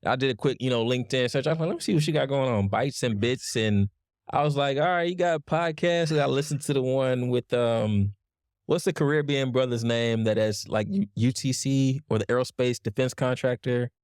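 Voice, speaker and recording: A man hosting a podcast, chatting in a relaxed, slangy way. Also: mid-range voice, a little fast, clean tone, dry recording, clean studio sound. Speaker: podcast host. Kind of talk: casual interview chat.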